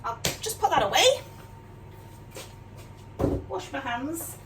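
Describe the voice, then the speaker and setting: A woman's voice: high-pitched, big pitch swings, takes it slowly. An older woman from Yorkshire with a mixed northern English accent, chatting casually and self-deprecatingly while she cooks in her home kitchen, often tagging her sentences with questions. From Yorkshire, England. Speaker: cooking vlogger. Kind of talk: casual chatty cooking demonstration. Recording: distant mic with clear background noise.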